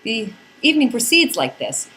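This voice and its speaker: Animated woman telling a wry story. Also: fairly high pitch, normal speed, slight background noise. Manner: theatrical, playful, wry delivery with dramatic emphasis. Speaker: podcast narrator reading a blog. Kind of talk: first-person comedic storytelling, scripted narration.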